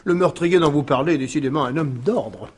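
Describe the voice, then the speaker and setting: An actor playing a skeptical, bemused partner to a detective in a French radio mystery in 1950s style, objecting in a hesitant, wry way. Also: male voice, a little high, brisk, a little mellow, slightly rough.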